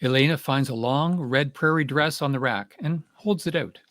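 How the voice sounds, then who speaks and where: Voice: medium pitch, moderate pace, fairly bright tone. A narrator reading stage directions for a play as scripted narration.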